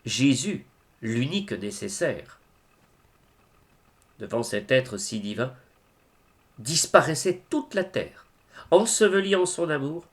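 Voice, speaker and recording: A man reciting religious poetry in a solemn, declamatory way, phrase by phrase with deliberate pauses. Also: on the high side, fairly bright tone. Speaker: reader of devotional poetry.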